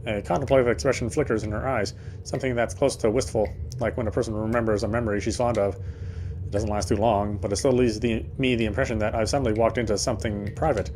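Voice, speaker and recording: A man's voice: fairly low pitch, fairly even intonation, quick pace, darkish tone, a little hiss or hum. A man reading a horror game's first-person narration aloud in a dramatic storytelling style. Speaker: let's player reading game text aloud.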